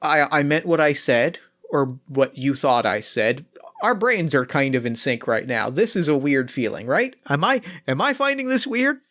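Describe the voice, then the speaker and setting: A man voicing a calm, coldly logical male android pilot who speaks in a measured, hesitant, matter-of-fact way. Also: fairly high pitch. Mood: calm, detached.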